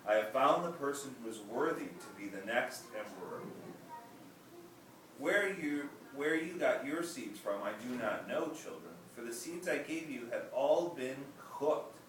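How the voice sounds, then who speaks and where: A man voicing a very old emperor who proclaims loudly and grandly. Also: medium pitch, animated, unhurried. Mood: joyful proclaiming. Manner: loud, declaiming. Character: very old emperor, proud and commanding. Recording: echoey room, distant mic, noisy.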